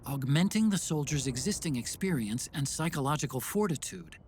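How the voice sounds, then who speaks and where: A man's voice: on the high side, expressive, brisk, darkish tone, a little hiss or hum, lightly acted. An actor playing an earnest, brainy male tech expert in a video game, speaking in an explanatory way. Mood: earnest, concerned. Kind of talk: video game cutscene acting.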